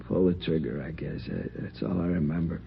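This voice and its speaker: Gravelly, deep-voiced wounded crime victim, male. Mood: shaken, defensive. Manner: halting, colloquial, slangy. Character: wounded married man, shot robbery victim, sheepish and plain-spoken. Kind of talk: 1950s radio drama acting. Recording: muffled, noisy old radio recording.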